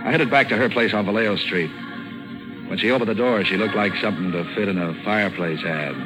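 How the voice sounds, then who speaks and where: A man playing a wry, deadpan, wisecracking hard-boiled detective in a 1940s radio noir drama. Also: lowish voice, fast talker, natural voice, rough recording. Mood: wry, guarded. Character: hard-boiled, wry male detective narrating his case. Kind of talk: 1940s radio noir acting and first-person narration. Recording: old 1940s radio recording, music behind.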